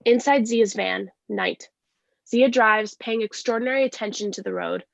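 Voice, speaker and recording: A woman's voice: fairly high pitch, moderate pace, gravelly, dry recording, dull audio. A woman giving scripted narration, reading stage directions at a play reading.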